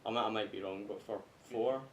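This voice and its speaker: A man's voice: steady tone, brisk. A man with a Scottish accent in casual, rambling conversation, hesitant and a bit sweary. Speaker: podcast panellist. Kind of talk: casual chat about video games. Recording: echoey room, faint rumbling noise.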